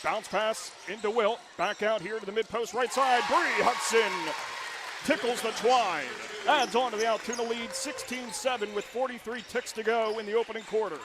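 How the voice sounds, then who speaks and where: A man calling live high school basketball play-by-play for radio from a gym, with quick, excited calls that rise to shouts on big plays. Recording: radio broadcast with loud gym noise.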